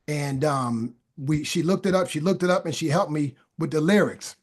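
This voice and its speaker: High-voiced man preaching fervently. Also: moderate pace, a little mellow. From Boston, USA. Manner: stammering restarts, repeats phrases for emphasis. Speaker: podcast guest. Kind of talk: casual talk building into fervent preaching.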